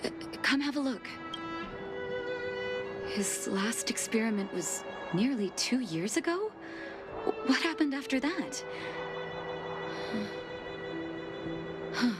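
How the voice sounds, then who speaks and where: A woman voicing a caring, grieving young doctor in a video game cutscene, speaking in a measured, soft and reflective way. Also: a little high, animated, over loud music. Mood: anxious, searching. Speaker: game character.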